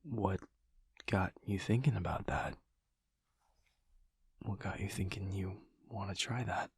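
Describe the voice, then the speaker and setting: A man playing a gentle, earnest vampire lover in an intimate audio roleplay, speaking in a halting, stammering, breathy near-whisper. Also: no room sound, exaggerated voice. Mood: tender, curious. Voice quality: breathy, gravelly low voice.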